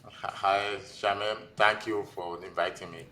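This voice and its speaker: A man's voice: on the low side, steady tone, a little slow, pushed and bright. A man in his forties with a Nigerian accent, telling his own story informally as a guest in a conversational interview. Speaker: podcast guest, physician and investor.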